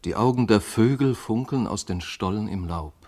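A male actor as a dreamy, watchful man with a deep voice. He describes things with lyrical care in a poetic radio play. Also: moderate pace, darkish tone, natural voice. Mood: wondering.

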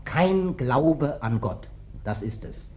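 An actor in a German radio play playing a big ex-wrestler drifter, wheedling and falsely humble, with slyly menacing folksiness. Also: male voice, medium pitch, lively intonation, takes it slowly, a little mellow, slight background noise. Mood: dreamy, menacing. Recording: dull, band-limited radio play recording.

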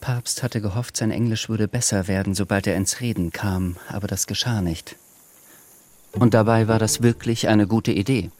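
A man's voice: lowish voice, steady tone, normal speed, darkish tone. A man giving scripted literary narration in a radio drama.

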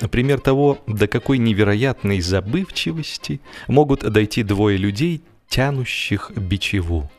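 A man with a fairly low voice reads humorous literary prose as an audiobook narrator. His storytelling is measured and expressive, with deliberate phrasing.

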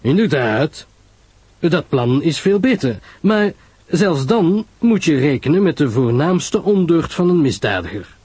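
A man playing a calm, sententious detective who explains gravely in a mystery audio drama. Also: a little high, no echo, pitched above the performer's own voice, full character voice. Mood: knowing, measured. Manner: measured, grave, didactic. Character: calm, sententious male detective, measured and knowing.

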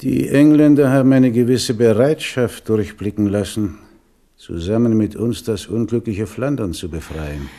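A man's voice: on the low side, mellow, natural voice. A man playing a solemn, stern admiral. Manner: measured, solemn. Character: stern, pious old admiral and military leader. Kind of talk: 1970s radio drama acting.

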